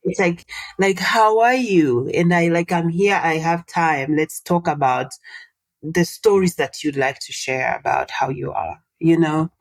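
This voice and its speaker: A low-pitched woman, a Swahili speaker in her thirties, talking in a relaxed interview. She explains things in an animated way and puts questions to her listener. The sound is close and dry, with no room around it. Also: unhurried, no room sound, rough recording. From Tanzania. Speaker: guest, social entrepreneur. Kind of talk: casual interview conversation.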